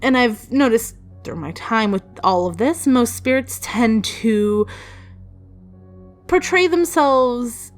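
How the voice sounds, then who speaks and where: A woman's voice: a little high, lively intonation, slow pace. A woman telling personal stories casually in a chatty, rambling, playfully emphatic way. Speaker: storytelling video creator. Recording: music behind the voice. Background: background music.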